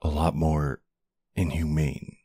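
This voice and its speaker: A man playing a tender but commanding mafia boss husband in an ASMR roleplay, with a low, gravelly, husky voice. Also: flat delivery, slow pace, warm tone, no room sound, exaggerated voice. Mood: soft, serious.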